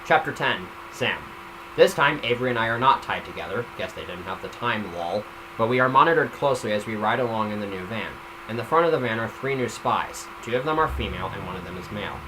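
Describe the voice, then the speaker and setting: A man with a fairly low voice reads a story aloud in a casual, unpolished way. He stumbles and comments on the text as he goes. The home recording carries clear background noise. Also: animated, quick pace. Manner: informal, stumbling, self-commenting. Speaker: reader of a self-written story. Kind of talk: casual amateur story reading with asides. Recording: noisy home microphone recording.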